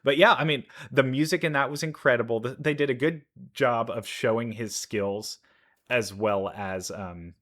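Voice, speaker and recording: A man giving casual, enthusiastic reaction commentary, halting as he thinks aloud. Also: medium pitch, a bit bright. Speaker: reaction video host, gamer.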